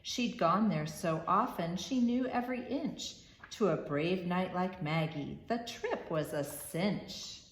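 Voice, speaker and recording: A woman reading a rhyming children's storybook aloud as storyteller, expressive and measured in a rhythmic way. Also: on the low side, roomy sound, low-quality recording.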